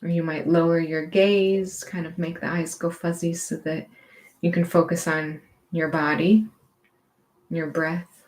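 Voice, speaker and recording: A woman teaching gentle movement and meditation in a reassuring, permissive, unhurried manner. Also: fairly low pitch, flat delivery.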